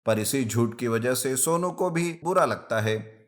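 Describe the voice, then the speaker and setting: A man's voice: medium pitch, animated, unhurried. A man narrating a scripted children's moral story as a storyteller.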